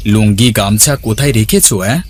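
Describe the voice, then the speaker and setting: A man voicing a good-natured village farmer, husband and father in a cartoon, speaking in a gentle, homely way. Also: lowish voice, brisk, natural voice. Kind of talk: Bengali cartoon dialogue. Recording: clearly noisy, birds and outdoor ambience.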